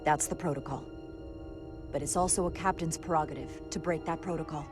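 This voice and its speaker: A game scene under loud music, with a commanding starship captain played by a woman. Her voice sits fairly low, and she speaks with calm, decisive authority. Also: a little fast, lightly acted. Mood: composed, firm. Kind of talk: video game voice acting.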